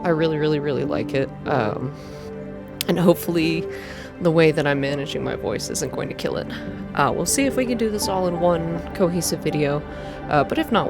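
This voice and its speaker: Low-pitched woman, intimate ASMR game reading. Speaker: host of a game playthrough. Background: game music.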